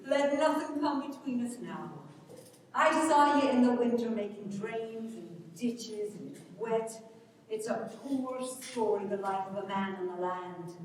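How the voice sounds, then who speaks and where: A restless, proud young countrywoman, acted by a woman on stage, high-voiced and sweeping in pitch. She pleads and scorns with passion in the manner of a rural drama. The voice reaches the listener through a very echoey hall. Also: expressive. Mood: pleading, tender. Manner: impassioned, pleading, quick to scorn. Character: proud, restless young Irish countrywoman longing for great cities. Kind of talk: dramatic stage reading of a rural Irish play. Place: large hall at a live reading. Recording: echoey hall, distant mic, faint noise.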